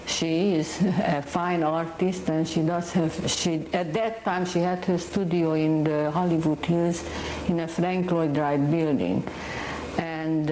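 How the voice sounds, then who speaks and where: A painter from Hungary, a woman speaking English with a Hungarian accent, talks about her art in a halting, searching way. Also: fairly low pitch, dark tone, reverberant, slight background noise, poor audio. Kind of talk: interview monologue.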